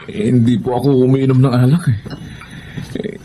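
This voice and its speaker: A man's voice: mid-range voice, level tone, normal speed, warm tone, slight background noise, close to an everyday voice. An actor playing a humble, kind male servant, polite and hesitant, in a radio drama. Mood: deferential, earnest. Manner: hesitant, polite, stammering when moved. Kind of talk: Filipino radio drama acting.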